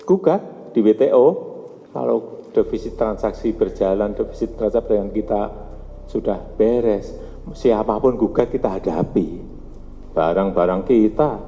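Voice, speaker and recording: A man's voice: fairly low pitch, mellow. A man giving a public speech in blunt, short, repeated phrases, recorded muffled in a hall. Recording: muffled, reverberant hall recording, music behind.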